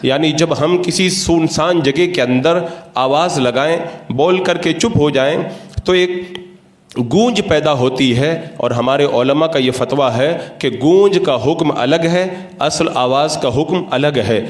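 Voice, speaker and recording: Male cleric, slightly higher-pitched, formal and didactic religious lecturer, recorded with clear room echo. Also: unhurried. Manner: didactic, methodical, formal Urdu-laden diction. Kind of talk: religious lecture, explanatory sermon. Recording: roomy, echoing sound.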